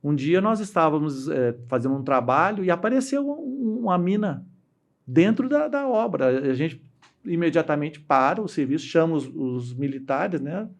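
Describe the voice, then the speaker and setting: Halting middle-aged Brazilian man telling stories. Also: a little high, animated, vocal fry. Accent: Brazilian Portuguese. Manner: halting, with many restarts and fillers; acts out quoted voices while telling stories. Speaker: interview guest, dam engineer. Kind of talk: casual storytelling interview.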